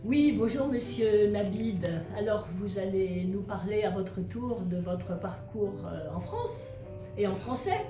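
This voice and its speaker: Brisk woman teacher, echoey room. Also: medium pitch, expressive, far from the mic, over music. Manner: brisk, encouraging, corrects students. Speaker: French teacher. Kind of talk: leading a class interview.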